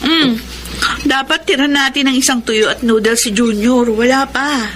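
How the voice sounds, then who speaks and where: A sensible, sympathetic young daughter of a poor family. Her voice is fairly high and earnest, in radio drama style. Clear background noise sits behind it. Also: moderate pace, a bit bright. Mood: disapproving. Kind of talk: Tagalog radio drama acting.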